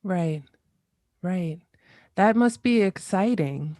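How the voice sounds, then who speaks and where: A woman's voice: lowish voice, lively intonation, slow pace, mellow, no echo, studio quality. A woman hosting a podcast, interviewing a guest in an enthusiastic, encouraging way. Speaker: podcast host.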